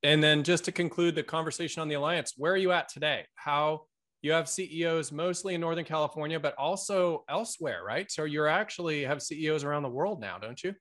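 A man's voice: fairly high pitch, a little fast, a bit bright. A man hosting a casual interview, asking short guiding questions. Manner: brief prompting questions and affirmations. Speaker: interview host.

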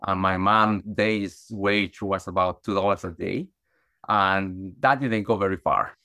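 A man's voice: deep voice, fairly even intonation, a little slow, bright, forceful tone, dry recording, clean studio sound. A middle-aged man from Guatemala, a podcast guest, telling his life story earnestly with many restarts and fillers. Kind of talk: conversational storytelling.

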